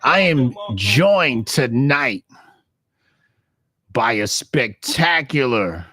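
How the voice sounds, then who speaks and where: A hoarse man hosts a podcast in a gravelly, drawn-out announcer manner. His voice has a bright, forceful edge, and he speaks very slowly. The sound is dry and close. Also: no echo. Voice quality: gravelly, deep radio-DJ sound. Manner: drawn-out, exaggerated announcer delivery. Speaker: show host. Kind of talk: casual podcast chat.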